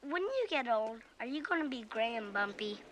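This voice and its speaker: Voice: medium pitch, very animated, normal speed, a bit bright, a little hiss or hum. A child actor playing a curious, earnest young boy in scripted television family dialogue, plainspoken and inquisitive. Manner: inquisitive, plainspoken, matter-of-fact.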